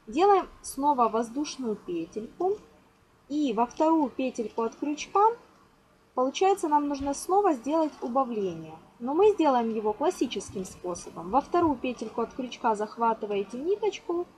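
A woman's voice: high voice, very animated, normal speed, darkish tone, rough recording. A woman giving an informal step-by-step craft lesson, instructing patiently with affectionate diminutive words. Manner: instructional, counts aloud, uses affectionate diminutives. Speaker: craft tutorial host. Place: indoors at a table. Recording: clear background noise, amateur home recording.

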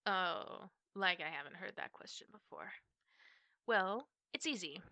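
A woman's voice: fairly low pitch, bright, forceful tone, no echo, heavily acted. A woman voicing a stern, blunt part-wolf army officer who trains knights, in a scripted roleplay audio drama. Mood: proud, blunt. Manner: tough, bossy, blunt.

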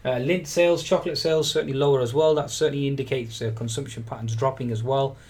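Male market commentator, mid-pitched, halting and filler-laden daily update. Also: steady tone, a little mellow. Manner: hesitant, many "uh" fillers, repeats "certainly". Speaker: market analyst. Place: voice-over on screen recording.

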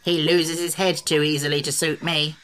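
A woman voicing a touchy male wooden sawhorse in a storybook, gruff and growling. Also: low voice, a little slow, bright, forceful tone. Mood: angry. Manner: growling, indignant. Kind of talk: audiobook character voice.

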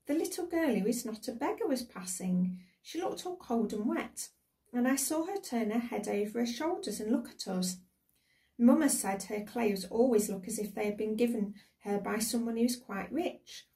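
An eager, well-bred little girl full of curiosity, voiced by a woman for a storybook. The pitch is lifted and the tone soft, heard a little way from the microphone. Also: expressive, moderate pace, recorded from a distance. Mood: concerned chatter. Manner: eager, questioning.

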